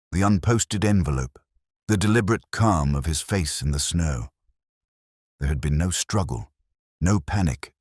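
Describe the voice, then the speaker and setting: Brooding older detective , male actor, extremely low voice with expressive swings, formal and reflective first-person drama narration. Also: breathy edge, no echo, studio quality, heavily acted.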